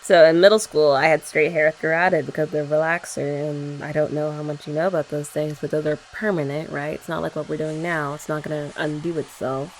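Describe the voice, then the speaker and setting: A young woman in a sweet, chatty girlfriend roleplay for ASMR, talking softly and often laughing gently. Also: low voice, fairly even intonation, fairly quick, hardly any pauses, slight background noise, low-quality recording.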